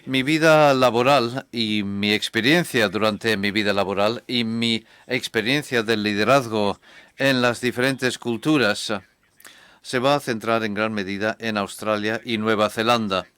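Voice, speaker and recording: A man giving a measured, reflective conference talk to a hall audience. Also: on the low side, fairly even intonation, a bit bright, clean tone. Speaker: conference speaker.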